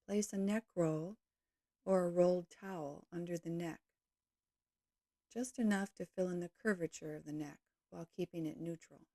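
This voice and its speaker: Low, mellow woman guiding meditation. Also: dry recording, studio quality. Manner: calm, measured, gentle delivery with short phrases. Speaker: yoga nidra teacher guiding a meditation. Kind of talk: guided meditation, slow instructional narration.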